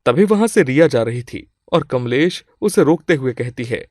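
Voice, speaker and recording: A man's voice: mid-range voice, expressive, moderate pace, a little mellow, no room sound, studio quality. A man reading scripted moral-story narration as the storyteller.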